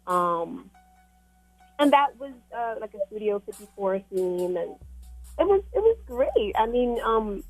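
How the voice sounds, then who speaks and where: A woman's voice: medium pitch, darkish tone, no echo, rough recording. A woman with a Trinidadian accent chatting casually as an interview guest, hesitant and often starting over. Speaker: guest, fashion model. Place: radio studio. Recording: music playing behind. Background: music.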